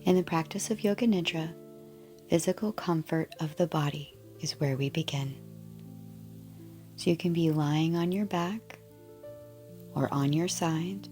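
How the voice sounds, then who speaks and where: Slow, low-voiced woman guiding relaxation. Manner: soothing, gentle, unhurried. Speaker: yoga nidra guide. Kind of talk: guided relaxation meditation.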